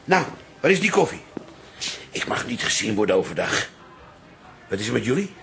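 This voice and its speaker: A man's voice: on the low side, big pitch swings, moderate pace, vocal fry, a bit bright, soft background music, heavily acted. An older man acting in a Dutch radio comedy as a stubborn, paranoid, money-hungry father with a blustering manner. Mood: alarmed, grumbling.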